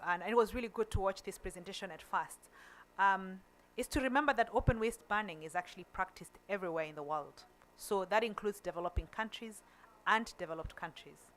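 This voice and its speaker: A woman, a Kamba speaker, presenting at a conference in a voice of middling pitch. She speaks deliberately and takes her time, stumbling and restarting words now and then. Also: sharp tone. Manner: deliberate and slow, with fillers and restarts.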